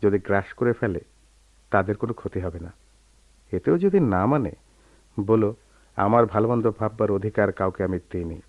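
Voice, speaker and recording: A man's voice: on the low side, lively intonation, normal speed, long pauses, no room sound. A man acting a bitter, proud, brooding man in a radio drama, with theatrical delivery that can swell into anguish. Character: bitter, proud man who lost his right leg, brooding. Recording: dull lo-fi radio recording, clearly noisy.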